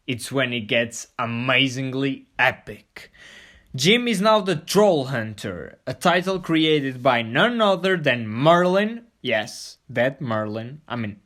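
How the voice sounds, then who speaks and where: A man's voice: mid-range voice, fairly bright tone. A man hosting a scripted video review, playful and full of jokey asides. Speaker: host of a review show.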